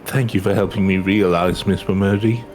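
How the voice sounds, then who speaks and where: A man's voice: sits low, fairly even intonation, moderate pace, a little mellow, lightly acted. A man playing a polite, earnest young adventurer from a video game, formal and humbly reflective. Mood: grateful. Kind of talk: video game dialogue read aloud in character. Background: game music.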